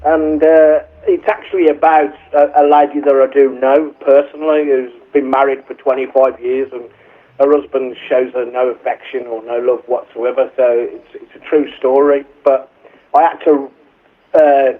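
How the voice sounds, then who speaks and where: A man's voice: medium pitch, flat delivery, normal speed. Rambling man on a tinny phone line. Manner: rambling explanations with restarts and fillers. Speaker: songwriter being interviewed. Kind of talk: casual chat over the phone. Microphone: telephone.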